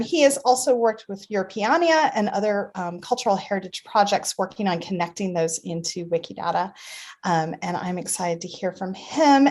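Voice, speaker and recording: A woman's voice: medium pitch, darkish tone. A woman moderating an online session and introducing the speakers, with frequent "um" fillers. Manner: friendly, many "um" fillers. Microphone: video call.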